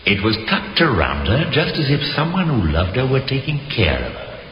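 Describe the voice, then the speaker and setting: An actor playing a grave, methodical police inspector in a measured, authoritative 1950s radio drama style. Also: male voice, medium pitch, huge pitch swings. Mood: brooding, certain. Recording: dull, lightly noisy 1950s radio recording.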